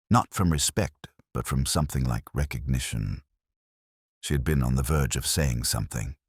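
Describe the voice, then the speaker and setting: A man giving a measured, brooding first-person narration of a detective story. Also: very deep voice, husky, no echo, studio quality. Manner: measured, brooding, literary delivery.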